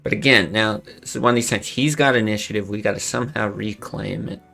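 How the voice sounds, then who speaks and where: A man's voice: fairly low pitch, fast, creaky, bright, forceful tone, soft background music. A young man streaming a card game, chatting casually and thinking aloud, swearing under his breath now and then. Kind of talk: casual gameplay commentary.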